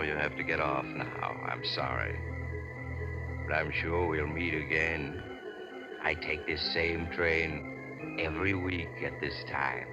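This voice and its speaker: An actor playing a suave, eerie traveler who narrates with sly, theatrical geniality in 1940s radio horror style. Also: male voice, a bass voice. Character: mysterious male traveler, suave, eerie storyteller on a train. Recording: dull vintage radio recording with music.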